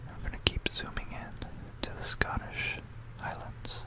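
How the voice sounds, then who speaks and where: An ASMR host whispering an educational history talk in an unhurried, explanatory manner, close to a stereo microphone. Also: poor audio. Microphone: close stereo binaural microphone.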